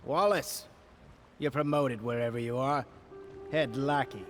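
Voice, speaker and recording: An actor playing a haughty, bitter lord in a video game, self-important and pompous, with a sardonic edge. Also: male voice, medium pitch, a little slow, over music, lightly acted. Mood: smug. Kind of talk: video game character acting.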